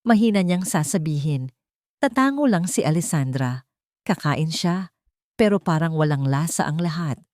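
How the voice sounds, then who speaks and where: Female storyteller, lowish voice, dry sound, measured dramatic delivery of scripted romance narration. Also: lively intonation, darkish tone, no room sound.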